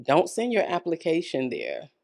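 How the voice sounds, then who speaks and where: A woman, telling her life story as a podcast guest, earnest and encouraging, with frequent ums and soft laughs. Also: low-pitched, wildly swooping pitch, moderate pace, gravelly. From Florida, United States. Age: 50s. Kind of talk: casual interview storytelling.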